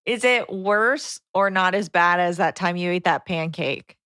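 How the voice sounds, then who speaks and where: A woman's voice: fairly low pitch, measured pace, sharp tone, smooth, no echo, clean studio sound. A woman in casual conversation as a podcast co-host. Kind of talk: casual chat.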